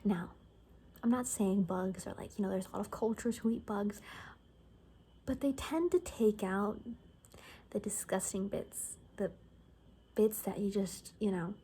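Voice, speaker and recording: A woman playing a gossipy apocalypse survivor in a soft-spoken ASMR roleplay, casual and halting, often slipping into a whisper. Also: medium pitch, breathy edge. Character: gossipy young woman, zombie apocalypse survivor cutting hair.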